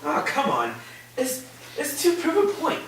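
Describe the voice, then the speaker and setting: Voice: high voice, wildly swooping pitch, measured pace, fairly bright tone, full character voice. An actor playing an easygoing, enthusiastic college student in a staged play reading, casual and reassuring. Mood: breezy, reassuring. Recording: echoey room from far off, noisy.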